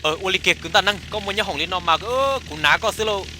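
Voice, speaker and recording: A man's voice: high voice, brisk, sharp tone, soft background music. A man voicing a talkative male character in a comic cartoon. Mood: explaining. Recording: clear background noise.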